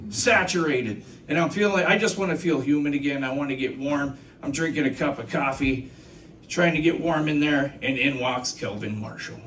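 A man's voice: on the high side, a bit bright, a little hiss or hum. A middle-aged man doing a stand-up comedy set about trucking, telling stories with sound effects and asides to the crowd. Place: small comedy club stage. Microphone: handheld mic, filmed from the audience. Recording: echoey club from far off. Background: audience laughter.

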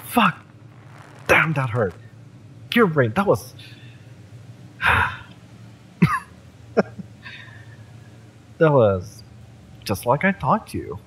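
A man's voice: medium pitch, sing-song, slow pace, pauses often, fairly bright tone, a little hiss or hum, full character voice. A man playing a cocky, fiery young cyberpunk mercenary in an audio roleplay, smug and menacing as he taunts. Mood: pained, impressed. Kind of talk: dramatic audio roleplay, intimate villain-romance acting.

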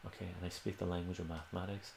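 A man's voice: sits low, level tone, rapid-fire, creaky, roomy sound, background noise. An older man whose first language is Armenian, hosting a casual livestream from a home room, rambling and correcting himself as he answers viewers' questions. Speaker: livestream host.

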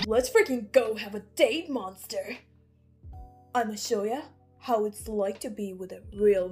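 Woman acting slow-talking brash, swaggering male boss. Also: medium pitch. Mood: cocky. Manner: swaggering, crude, emphatic. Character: brash, cocky, jealous male boss angel. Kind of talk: comic dub voice acting. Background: background music.

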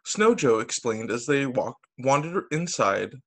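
A man, a teacher, reading children's stories aloud over a video call in an expressive, sing-song storytelling way. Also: mid-range voice, a little slow. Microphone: video call microphone.

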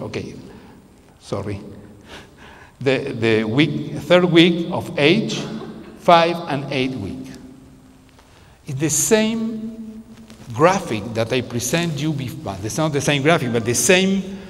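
A middle-pitched man in his fifties delivers a conference keynote into a handheld mic, working through his points in non-native phrasing and often restarting his sentences. His voice carries a long, lingering reverb from the large hall around him. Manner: non-native phrasing, frequent restarts, gestures while speaking. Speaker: keynote speaker, researcher. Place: large conference room with curtains. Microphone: handheld microphone.

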